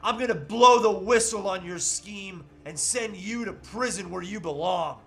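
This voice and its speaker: A man's voice: high-pitched, measured pace, vocal fry, pushed and bright, soft background music, exaggerated voice. A man playing a defiant, indignant everyman husband in a comedic script read, speaking with earnest melodrama.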